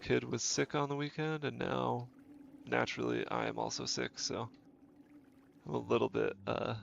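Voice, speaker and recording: A man's voice: medium pitch, flat delivery, normal speed, vocal fry, slight background noise. A man, a game streamer with a stuffy nose from a cold, thinking aloud and correcting himself in easygoing, casual gameplay commentary. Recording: dull lo-fi stream audio. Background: faint game music.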